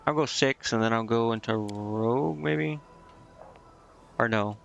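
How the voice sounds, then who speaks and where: A man's voice: medium pitch, unhurried, vocal fry, a bit bright, slight background noise. A man thinking aloud in casual, indecisive gameplay commentary, muttering to himself as he streams. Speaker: game streamer.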